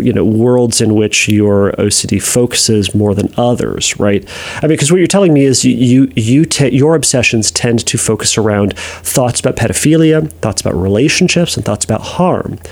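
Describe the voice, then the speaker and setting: A man's voice: mid-range voice, a little mellow. A man working as a therapist, answering listener questions on a podcast in a hesitant way, with many fillers and restarts. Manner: reads listener letters aloud.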